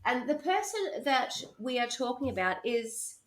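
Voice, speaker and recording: A woman's voice: fairly high pitch, sing-song, measured pace, soft background music. A woman hosting a podcast, telling a true crime story in a chatty way and laughing often. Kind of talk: casual chat, storytelling.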